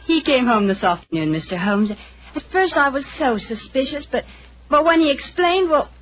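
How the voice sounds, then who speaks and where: An actress playing a coy, chatty, newly married young wife in a 1940s radio drama, speaking haltingly. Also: female voice, high-pitched, animated, a bit bright. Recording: dull, muffled 1940s radio recording.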